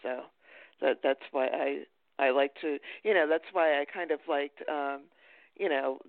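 A woman's voice: low-pitched, a little slow, vocal fry, no echo. A woman hosting a casual interview, speaking in a hesitant, meandering way. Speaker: podcast host, interviewer. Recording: tinny phone-like line, dry.

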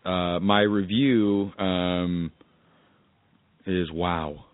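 A man's voice: deep voice, fairly even intonation, very slow, clear, resonant tone, no echo. A man co-hosting a podcast in casual, joking conversation. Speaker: podcast co-host. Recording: dry, phone-like sound.

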